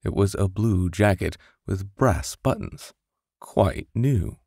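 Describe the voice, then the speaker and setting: A man's voice: low voice, animated, slow pace, gravelly, a little mellow, breathy edge, dry recording. A man narrating a bedtime story expressively and theatrically, close on a studio microphone. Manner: theatrical, with whispers and sound-effect voices. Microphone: close studio condenser microphone.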